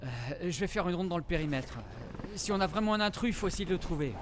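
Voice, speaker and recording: An actor playing a tense, protective forest ranger in a naturalistic audio drama, urgent and stammering. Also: male voice, sits high, expressive, quick pace. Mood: determined. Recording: background noise under the voice.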